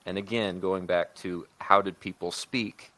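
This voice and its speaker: A man speaking as a podcast host in a casual discussion, thinking aloud with halting phrasing. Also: deep voice, fairly even intonation, pushed and bright, no room sound, poor audio. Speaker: podcast host and writer-producer.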